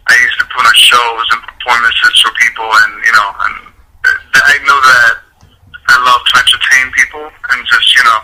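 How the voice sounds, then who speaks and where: A man's voice: mid-range voice, very noisy. A man from the Dominican Republic, a guest singer talking on the phone, earnest and polite. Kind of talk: phone interview. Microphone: telephone.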